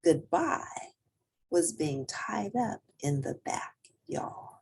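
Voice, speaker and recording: A woman reading a children's picture book aloud as an expressive storyteller with theatrical emphasis. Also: lowish voice, extremely slow, gravelly, breathy edge.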